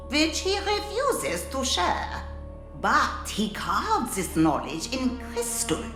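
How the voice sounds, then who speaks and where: Brash, swooping-voiced creature runesmith. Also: female voice, high voice, fairly bright tone, echoey room, exaggerated voice. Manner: boastful, scornful, speaks of herself in third person. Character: brash Groark woman runesmith, scheming, refers to herself by name. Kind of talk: dramatic video game acting.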